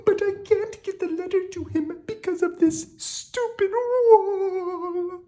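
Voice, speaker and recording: A woman reading a storybook aloud, voicing a weepy, lovesick young princess with high, melodramatic wailing. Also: slow, warm tone.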